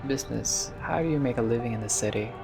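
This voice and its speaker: A man doing a casual game playthrough, reading dialogue aloud and reacting with expressive, slangy asides. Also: mid-range voice, quick pace, creaky, over music. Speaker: gaming video player reading dialogue aloud.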